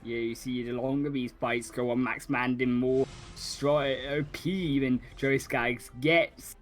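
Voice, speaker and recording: A man giving analytical esports color commentary, often pausing on fillers. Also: medium pitch, steady tone. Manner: analytical, halting with many fillers. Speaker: esports caster.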